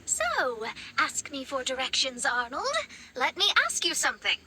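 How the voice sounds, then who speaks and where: A woman's voice: high voice, big pitch swings, moderate pace, pushed and bright, slight background noise, exaggerated voice, poor audio. A woman voicing a proud, theatrical young female astrologer in an anime-style video game. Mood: brisk. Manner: haughty, theatrical. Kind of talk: anime game character acting.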